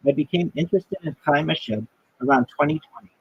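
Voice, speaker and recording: A man, a rabbi and livestreamer, reading a prepared self-introduction in a measured, list-like way with occasional restarts. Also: a little high, husky, no echo, muffled recording. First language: not Hebrew.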